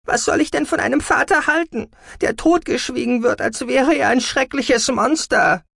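A man's voice: sits high, very animated, normal speed, gravelly, slightly rough, dry recording, clean studio sound, voice pushed up, heavily acted. A man voicing a hot-tempered, defiant adolescent girl in an audiobook, indignant and emphatic. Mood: furious, defiant. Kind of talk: dramatic audiobook character acting.

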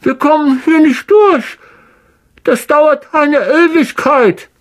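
A man reading an audiobook voices a frightened young schoolboy calling out, with a high, strained voice. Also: slow, exaggerated voice. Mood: desperate. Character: frightened but brave young schoolboy wizard, the friend.

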